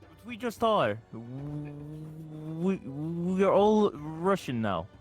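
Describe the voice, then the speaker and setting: A man with a slightly high voice who talks very slowly while putting on a joke Russian accent. His pitch moves a lot, and he giggles between lines. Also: sing-song, faint music behind. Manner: slow, giggly, drawn-out delivery. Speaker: player.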